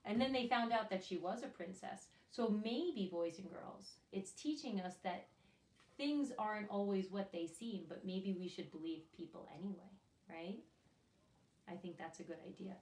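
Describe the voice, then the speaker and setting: Lady doing storytime for little kids, super expressive, asks them stuff, echoey room and bit far from mic. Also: medium pitch, normal speed. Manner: expressive, addresses children directly with questions. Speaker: children's storyteller and teacher. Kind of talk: read-aloud picture book for young children with asides and questions. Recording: echoey room, distant microphone.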